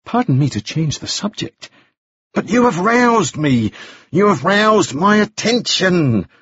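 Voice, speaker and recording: A man voicing a boisterous, jovial, prying gold-rush fellow in an audiobook, loud and emphatic. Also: sits high, sing-song, unhurried, slightly rough, no room sound, highs cut off, pitched above the performer's own voice, heavily acted. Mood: loud, rousing. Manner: loud, emphatic, hearty exhortation.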